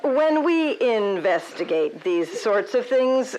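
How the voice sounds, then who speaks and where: A woman playing a dry, patient investigator in a comedic audio drama, with a deadpan, measured, official manner. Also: on the high side, rough recording. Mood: patient, weary. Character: dry, patient female investigator questioning a suspect.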